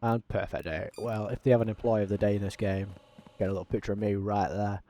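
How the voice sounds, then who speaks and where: A man chats casually over his gameplay, his voice low-pitched and mellow. He calls his own delivery monotone, and it is dotted with ums and fillers as he narrates what he does on screen. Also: fast. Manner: self-described monotone, frequent ums. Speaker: gaming channel host.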